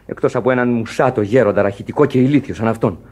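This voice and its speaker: Man playing a husky, blunt sailor. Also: mid-range voice, a little mellow, natural voice. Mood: bitter. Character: rough, loyal sailor, protective of his mate. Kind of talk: Greek radio play acting.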